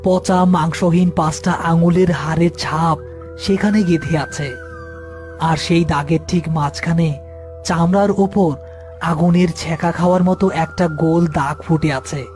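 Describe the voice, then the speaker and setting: A man's voice: a little high, unhurried, a little mellow, faint music behind. A man narrating a scripted horror folk tale as storyteller.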